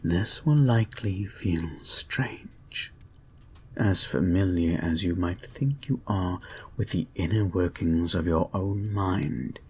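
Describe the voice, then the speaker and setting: A man playing a creepy, obsessive hypnotist therapist in an audio drama, murmuring calm, controlling guided meditation in a soft breath that often drops to a whisper. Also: low-pitched, takes it slowly, slightly rough, exaggerated voice. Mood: coaxing, calm.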